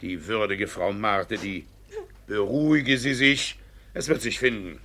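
An actor playing a devious, blustering village judge in a classic stage comedy for radio, stammering and evasive. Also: male voice, fairly low pitch, unhurried, bright, forceful tone, slight background noise. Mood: irritated.

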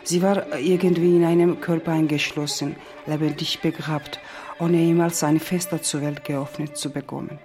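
A woman's voice: low voice, steady tone, moderate pace. A middle-aged woman from Georgia with a Georgian accent speaks reflectively about herself and tells a story in simple phrases. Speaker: interviewee. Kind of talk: reflective personal narration. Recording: music behind the voice. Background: music.

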